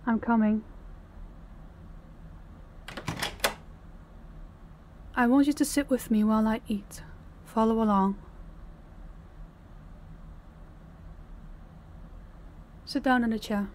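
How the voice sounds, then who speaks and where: A woman playing a stern, condescending female mafia boss in an intimate audio roleplay, giving measured orders directly to the listener. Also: a little high, a little mellow, slight background noise, lightly acted. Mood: stern, commanding.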